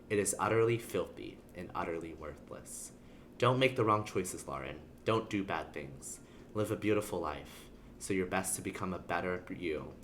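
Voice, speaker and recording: Low-pitched male streamer with playful sarcasm. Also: a bit bright, slight background noise. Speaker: game streamer. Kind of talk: casual livestream gameplay commentary, reading game text aloud.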